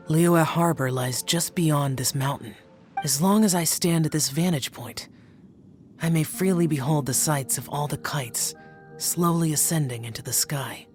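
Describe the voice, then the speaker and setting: An actress playing a calm, aloof young woman who speaks softly and composedly, with game music behind. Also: very deep voice. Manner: soft, hushed, slow and composed.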